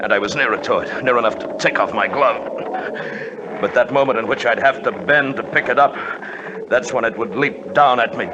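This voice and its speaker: A man acting a shaken, haunted adventurer in a 1950s radio drama, narrating with halting, suspenseful intensity. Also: mid-range voice, highs cut off, noisy background, close to an everyday voice. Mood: tense, fearful. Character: shaken adventurer recounting terror in the snow, grim and haunted.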